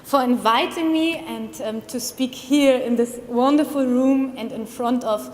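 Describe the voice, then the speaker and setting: A woman in her thirties with a German accent, giving a formal, measured academic lecture at a conference. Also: high-pitched. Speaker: conference speaker, criminology researcher. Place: large conference hall. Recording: long hall reverb.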